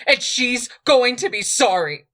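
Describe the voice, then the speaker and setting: A woman voicing a proud, hot-tempered young queen who snaps in a raised, sharp voice. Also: a little high, lively intonation, a little slow, voice pushed up. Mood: furious.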